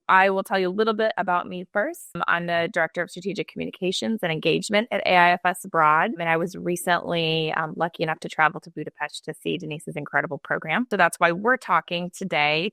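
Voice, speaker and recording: Woman host, chatty and enthusiastic, low voice with vocal fry. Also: sharp tone, no echo. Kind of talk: interview chat.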